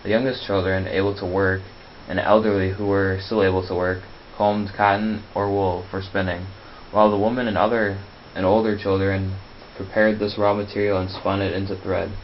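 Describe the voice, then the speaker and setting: A man's voice: low-pitched, level tone, normal speed. A man reading scripted educational narration, sometimes stumbling over his words. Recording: dull, lo-fi, faint hiss.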